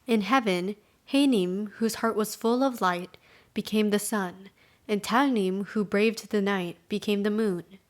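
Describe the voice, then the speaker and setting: A woman's voice: medium pitch, a little slow. A woman with a mid-range voice tells a children's folktale. She delivers it as scripted storybook narration.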